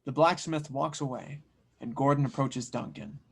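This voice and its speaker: A man's voice: medium pitch, moderate pace, creaky, slightly rough. A man reading stage directions as narrator in an audio drama table read.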